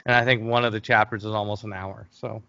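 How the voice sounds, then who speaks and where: Halting middle-aged man in muffled, dry audio. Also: lowish voice, little pitch movement, vocal fry, sharp tone, clear, resonant tone, dry recording. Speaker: interview guest, fantasy film producer. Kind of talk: casual interview conversation.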